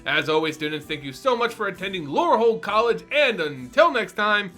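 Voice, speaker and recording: A man's voice: sits high, very animated, a little fast, pushed and bright, faint music behind. A man narrating fantasy lore as a host, in a playful, scripted lecturing and storytelling style. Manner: playful lecturer addressing listeners as students. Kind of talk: dramatic story reading and scripted lore commentary.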